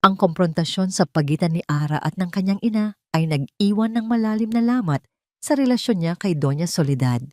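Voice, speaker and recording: Woman, lowish voice, scripted story narrator, measured dramatic delivery, dry sound. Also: expressive, dry recording.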